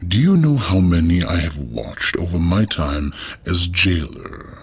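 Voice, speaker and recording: A man voicing a solemn, imposing undead jailer in a slow, weighty, gravelly and husky delivery for an audio drama. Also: sits low, sing-song, exaggerated voice. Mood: solemn, assured.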